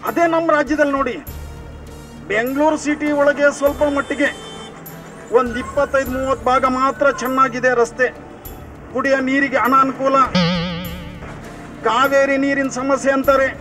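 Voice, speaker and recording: A man's voice: very high-pitched, level tone, normal speed, pauses often, over music. A man, a member of the public giving an impromptu political opinion.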